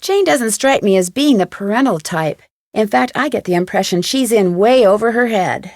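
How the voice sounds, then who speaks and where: A woman's voice: mid-range voice, lively intonation, moderate pace, no echo, clean studio sound, close to an everyday voice. An actress playing a curious, determined young woman detective and dutiful daughter in a video game, polite and earnest. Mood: determined, suspicious. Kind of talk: scripted game voice acting.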